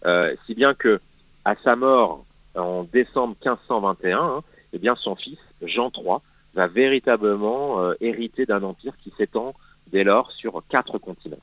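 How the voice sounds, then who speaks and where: A man's voice: on the low side, measured pace, a bit bright, poor audio. A man explaining history in a radio interview, hesitant, with many fillers and restarts. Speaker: magazine guest historian.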